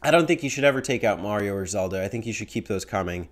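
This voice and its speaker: Animated young man chatting at his desk mic. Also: lowish voice, fast. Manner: animated, rambling with fillers, gesturing. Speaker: host. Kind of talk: casual chat answering viewer voicemail. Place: small home room with bookshelf and window. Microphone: studio mic on stand in front.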